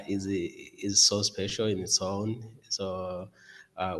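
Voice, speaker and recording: A man in his twenties from Rwanda speaks as a podcast guest over a video call from his office. His voice sits low, and his pace is slow and unhurried. He talks earnestly and fills his pauses with uh and restarts. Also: poor audio. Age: 20s. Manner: earnest, many uh fillers and restarts. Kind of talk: podcast interview.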